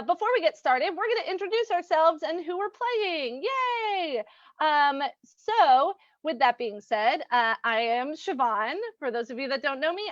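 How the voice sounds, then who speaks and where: A woman's voice: high voice, a bit bright, no room sound. A woman hosting and casually introducing a live-streamed reading, on dull, dry audio. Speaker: host and announcer.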